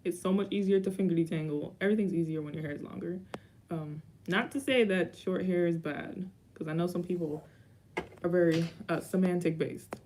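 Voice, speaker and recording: A woman's voice: on the low side, fairly even intonation, fairly quick, a little mellow, slight background noise. A young woman in her twenties answering viewer questions in a rambling, casual vlog chat with dry asides. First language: Haitian Creole. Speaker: YouTuber.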